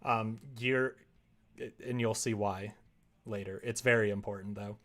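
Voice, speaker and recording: A man's voice: on the low side, fairly even intonation, measured pace, fairly bright tone. A man chatting casually on a livestream while he works, rambling and thinking aloud in a self-deprecating way. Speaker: livestreamer making a visual novel.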